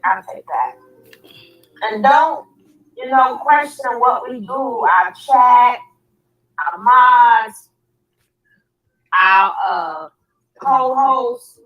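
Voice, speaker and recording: Hoarse, raspy-voiced woman host. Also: on the high side, expressive, extremely slow, long pauses, lo-fi sound. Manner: laughs often, repeats words and phrases. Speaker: livestream host. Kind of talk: casual livestream chat.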